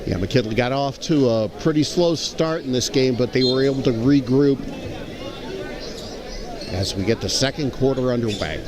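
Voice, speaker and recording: A man giving live color commentary and analysis at a high school basketball game. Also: normal speed. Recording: clear crowd noise in gym. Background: basketball game crowd.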